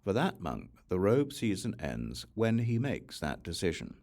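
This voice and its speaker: A man reading a scriptural audiobook in a measured, reciting manner, breaking sentences into short phrases. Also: low-pitched, wildly swooping pitch, a little mellow. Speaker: audiobook reader.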